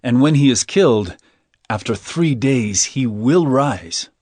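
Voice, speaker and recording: A man's voice: medium pitch, lively intonation, unhurried, a little mellow, dry recording. An actor playing a stern, authoritative male teacher, speaking solemnly and with commanding emphasis in a dramatized scripture reading. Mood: solemn teaching.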